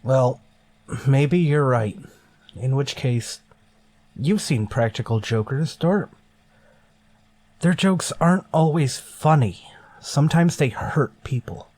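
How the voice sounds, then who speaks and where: Starship captain, male actor: weary authority, dry clipped orders, medium pitch, radio sci-fi drama. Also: slow pace, pauses often, a little mellow, natural voice. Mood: weary, pondering.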